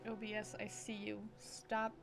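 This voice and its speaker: A woman's voice: medium pitch, completely flat delivery, normal speed, creaky. A woman streaming a game, chatting casually and talking back to the characters. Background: game music.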